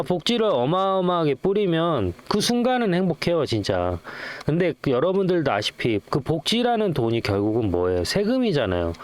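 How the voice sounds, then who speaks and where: A middle-aged man giving casual, off-the-cuff stock commentary in a chatty, rambling way, often posing questions and answering them himself. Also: medium pitch. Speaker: stock channel host.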